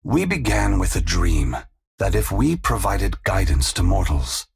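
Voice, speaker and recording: An actor playing an ancient, solemn and compassionate sun god in a fantasy video game, speaking gravely and formally with a gravelly, husky voice. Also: male voice, deep voice, sing-song, full character voice. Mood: solemn, explanatory. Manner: grave, measured, formal, oracular.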